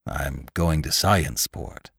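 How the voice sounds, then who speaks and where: A man acts out a vengeful, brooding dead man risen from the grave in a gothic storybook. His voice is very deep and slow. He declaims grandly, weary and full of menace. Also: fairly bright tone, husky, no room sound, studio quality, exaggerated voice. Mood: weary, curt.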